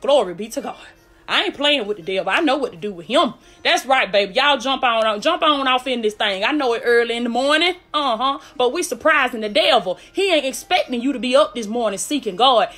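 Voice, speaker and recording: A woman's voice: a little high, animated, a little fast, a bit bright, soft background music. A woman with a Southern US accent preaching a fervent livestream sermon in an emphatic, hyped, exhorting way, with repeated refrains. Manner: hyped, fired-up delivery.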